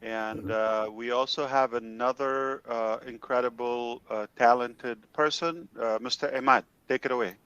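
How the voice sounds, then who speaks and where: A man's voice: medium pitch, fairly even intonation, unhurried, hardly any pauses, a bit bright, clean tone, no echo, muffled recording. A man hosting an online team meeting in an effusive, welcoming manner on a video call. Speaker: host.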